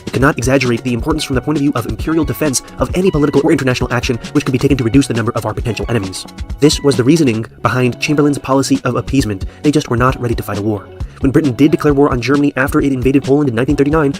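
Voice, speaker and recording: A man narrating a scripted video essay, laying out his argument in a brisk, measured way. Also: medium pitch.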